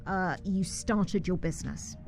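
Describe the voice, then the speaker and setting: A woman's voice: fairly low pitch, a little slow, gravelly, darkish tone, soft background music. A woman hosting a radio interview, curious and prompting the guest with questions and short reactions. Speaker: radio host.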